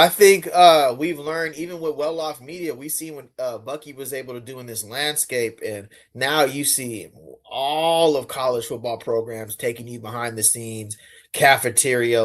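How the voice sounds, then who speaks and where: A man talking casually on a live stream, animated and slangy, his loudness swinging up and down. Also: mid-range voice, unhurried.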